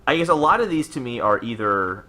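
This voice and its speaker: A man's voice: mid-range voice, very animated, fairly quick, pushed and bright. A man co-hosting a podcast, talking casually through video game news. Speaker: podcast co-host.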